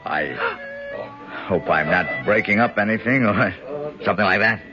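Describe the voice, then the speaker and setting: A man's voice: fairly low pitch, moderate pace, lightly acted. An actor playing a brash, sardonic tough man from the slums in a 1970s radio drama, talking in punchy slang. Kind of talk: 1970s radio drama acting and first-person narration. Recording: dull vintage radio recording with music.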